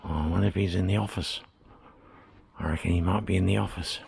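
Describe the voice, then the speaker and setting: A man's voice: sits low, steady tone, quick pace, gravelly, a little mellow, husky. An Australian man chatting casually to camera while walking around a historic village, thinking aloud as he goes. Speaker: travel vlogger. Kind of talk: casual walking tour commentary.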